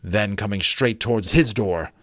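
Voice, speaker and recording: A man reading a classic story aloud as dramatic narration, theatrical and deliberate, building suspense. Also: on the low side, fairly bright tone, slight background noise. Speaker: amateur audiobook narrator.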